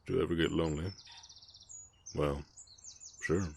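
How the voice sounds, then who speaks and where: A man voicing a big, gentle, guarded orc ranch hand with a Southern US accent, speaking politely and haltingly in an intimate Western ASMR roleplay. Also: extremely low pitch, fairly even intonation, gravelly, husky, heavily acted. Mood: tender, earnest. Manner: halting, polite, soft-hearted drawl with folksy turns.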